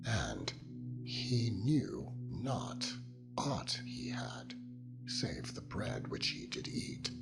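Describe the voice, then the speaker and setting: A man narrates scripture solemnly in a low voice with very lively intonation. The delivery is measured and declamatory. Loud meditation music plays throughout. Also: vocal fry. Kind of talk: solemn dramatized Bible narration.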